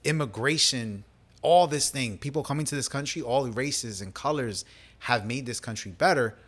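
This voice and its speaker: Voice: medium pitch, normal speed, husky. A host in a casual chat who agrees readily, uses many short backchannels and drops in Spanish phrases.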